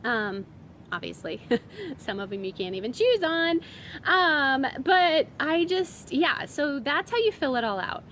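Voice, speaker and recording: A woman's voice: high voice, big pitch swings, measured pace, a bit bright, a little hiss or hum. A woman in her thirties with a summer cold, chatting casually on her vlog as a homeschooling mom. She rambles a little, with lots of fillers and restarts. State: has a summer cold, voice a bit strained.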